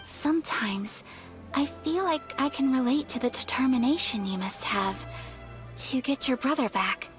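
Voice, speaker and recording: A woman playing a gentle, refined young woman in a video game, soft-spoken, sincere and a little shy. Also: high voice, a little slow, soft background music. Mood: tender, earnest. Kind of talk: video game character dialogue.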